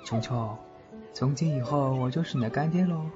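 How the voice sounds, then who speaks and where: A man playing a gentle, lonely wanderer turned godfather in an audio drama. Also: medium pitch, normal speed, close to an everyday voice. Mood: tender, moved. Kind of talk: Chinese audio drama acting. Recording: muffled recording with music behind.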